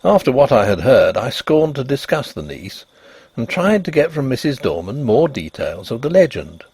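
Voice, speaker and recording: A man narrating a Victorian ghost story as scripted reading, with an expressive, literary delivery. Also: mid-range voice, moderate pace, slightly rough.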